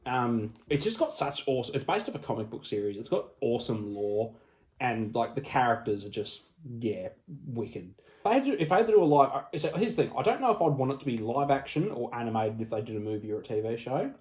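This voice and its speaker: Informal mid-pitched man chatting. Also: lively intonation, quick pace, a little mellow. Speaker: podcast panelist. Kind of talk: casual group chat.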